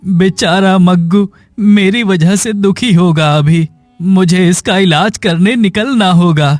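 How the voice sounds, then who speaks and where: A man playing a poor, sick village man in a cartoon moral story, sorrowful, gentle and pleading. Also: high-pitched, slow, no echo, clean studio sound, faint music behind. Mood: sad, resigned. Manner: plaintive, suffering.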